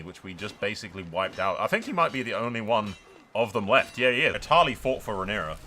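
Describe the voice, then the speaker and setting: A man giving casual, excited gameplay commentary as a streamer, with sweary, theatrical banter. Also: fairly low pitch. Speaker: game streamer narrating a strategy role-play. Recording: music behind the voice. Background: music.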